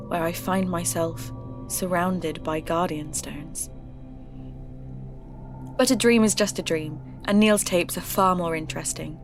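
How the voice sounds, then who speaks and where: An earnest Englishwoman hosting a folklore mystery podcast. Her voice sits fairly low, and she narrates in a measured, reflective manner. Music plays clearly behind her. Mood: haunted. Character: earnest woman podcaster investigating eerie folklore. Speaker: podcast host. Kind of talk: scripted first-person mystery narration.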